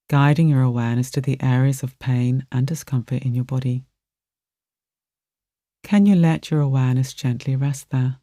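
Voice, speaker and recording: A meditation guide narrating a relaxation exercise in a gentle, soothing manner with soft, spacious phrasing. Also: mid-range voice, dry recording, clean studio sound.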